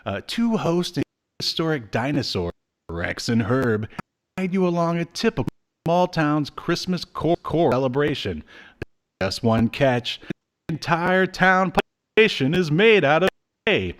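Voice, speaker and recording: A man's voice: on the high side, big pitch swings, a little slow, fairly bright tone. A man in his thirties co-hosting a podcast from home, chatting casually and hesitantly. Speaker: podcast co-host. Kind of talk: casual chat reviewing old media. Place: indoor room at home, video call.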